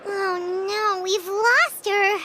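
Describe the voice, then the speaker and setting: A woman voicing a tiny, excitable childlike fairy companion girl in a video game, with a squeaky voice. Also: extremely slow, very noisy, exaggerated voice. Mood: indignant. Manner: refers to self in third person, indignant. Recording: game music behind the voice.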